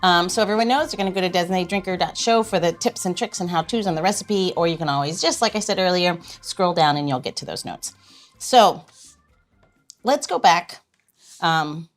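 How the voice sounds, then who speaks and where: A woman's voice: fairly low pitch, fairly quick, faint music behind. A woman hosting a podcast in casual, bantering talk, informal and easily amused. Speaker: podcast host.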